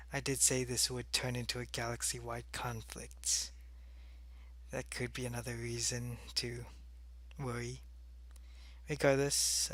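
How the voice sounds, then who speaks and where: Man playing an alien hive spy, mid-pitched, crackly fry, earnest, devoted, halting delivery, ASMR roleplay. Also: fairly bright tone. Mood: subdued, regretful. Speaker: voice actor in an audio roleplay.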